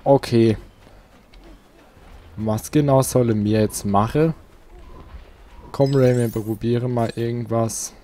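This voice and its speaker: A man's voice: mid-range voice, fairly even intonation, a little slow, warm tone, a little hiss or hum. A man giving casual gameplay commentary, muttering to himself as he plays. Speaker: let's player.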